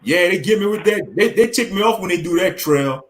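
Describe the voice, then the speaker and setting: A man's voice: high-pitched, expressive, fairly quick. A man hosting a casual livestream chat into a mic with a pop filter, talking bluntly and swearing freely. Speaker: stream host. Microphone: studio mic with pop filter.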